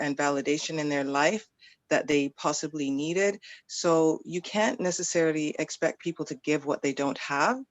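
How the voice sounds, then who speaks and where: Low, halting, earnest woman. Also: dry recording. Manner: halting, earnest, many restarts. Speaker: audience participant. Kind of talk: heartfelt thank-you remarks.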